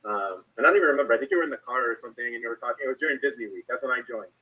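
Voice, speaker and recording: A Canadian man chats casually as a YouTuber guest, quick and husky. He sounds set well back from the microphone. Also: mid-range voice, bright, forceful tone, muffled recording. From Toronto area, Canada. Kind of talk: casual interview chat. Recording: distant from the microphone.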